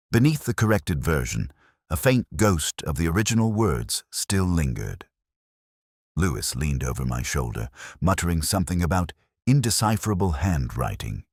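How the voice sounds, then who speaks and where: A man reading a scripted first-person detective story in a measured, brooding, reflective manner. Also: rumbling low, no echo, studio quality. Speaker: narrator.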